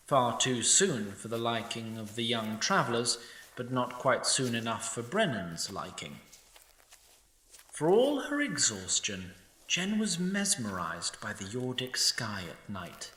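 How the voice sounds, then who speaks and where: A man's voice: medium pitch, bright, forceful tone, full character voice. A man voicing a snarky, timeless male sprite who narrates an audio drama with opinionated asides. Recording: roomy echo.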